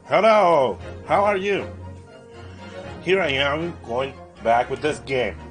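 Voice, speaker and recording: A male streamer with a somewhat high, raspy voice that moves up and down in lively swings. He sounds slightly congested and talks casually through a game, often restarting phrases, with faint music behind him. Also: takes it slowly. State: recovering from a stuffy nose and voice trouble. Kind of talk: casual livestream let's play, reading game dialogue aloud.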